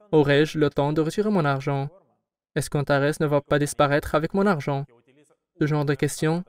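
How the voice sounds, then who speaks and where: A man speaks in a fairly high, smooth and resonant voice, with steady and assured answers. The sound is close and completely dry, like a voice-over booth. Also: dry recording. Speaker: company founder being interviewed. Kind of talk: French voice-over of an interview.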